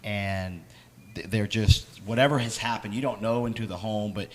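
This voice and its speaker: Man, pastor, hoarse voice, halting delivery, faint noise behind. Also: on the low side. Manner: plain, halting, many fillers. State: hoarse, lost his voice. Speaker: pastor hosting a Q and A. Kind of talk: casual church panel talk.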